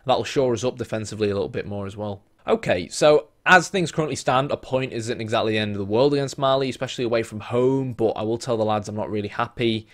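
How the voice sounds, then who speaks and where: A man, losing his voice, casually talking through a football management game, thinking aloud with lots of fillers and bursts of excited play-by-play. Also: medium pitch, fast, hardly any pauses. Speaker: gaming YouTuber.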